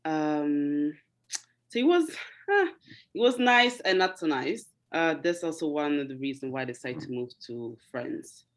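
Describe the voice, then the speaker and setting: A young woman raised in Italy, Italian as her first language, telling her story in a relaxed interview. She talks in hesitant bursts, full of ums and restarts, and breaks into laughter now and then. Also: deep voice, unhurried. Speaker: interview guest. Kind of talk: casual interview chat.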